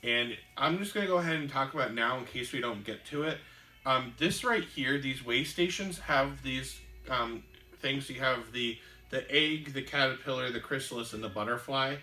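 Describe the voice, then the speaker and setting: A man hosting a casual board game show, explaining the rules in a halting way with many ums and restarts. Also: medium pitch, bright, forceful tone, roomy sound, poor audio.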